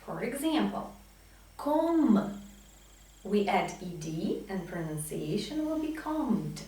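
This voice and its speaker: A woman teacher speaks very slowly, with big swings in pitch as she drills words. She enunciates each one with deliberate emphasis. The room is heavily echoey and she sounds some way from the microphone. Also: big pitch swings, mellow, far from the mic. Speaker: English teacher. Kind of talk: pronunciation lesson with drilled example words. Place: small indoor classroom with whiteboard.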